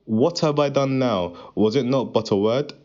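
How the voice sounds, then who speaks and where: A man's voice: medium pitch, moderate pace, a little mellow, lo-fi sound, close to an everyday voice. A man playing a bold, indignant young shepherd in a Bible reading, asking challenging questions. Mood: indignant questioning.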